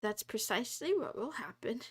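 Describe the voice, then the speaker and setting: A woman's voice: on the high side, expressive, moderate pace, slightly rough. A woman live-streaming while she codes, chatting casually and rambling with lots of fillers, talking to her viewers and laughing often.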